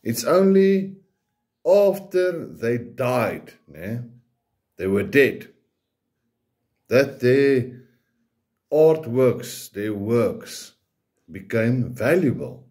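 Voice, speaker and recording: A man's voice: mid-range voice, big pitch swings, extremely slow, long pauses, darkish tone. A man from South Africa whose first language is Afrikaans gives an informal, hesitant preaching talk in English with an Afrikaans accent, often ending phrases with a questioning tag.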